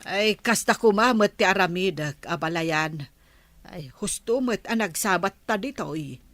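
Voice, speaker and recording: A woman from the Philippines voicing a patient older mother who gives calm moral advice in an audio drama. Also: fairly low pitch, a little slow, no room sound. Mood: steady counsel. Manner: calm, gently preaching.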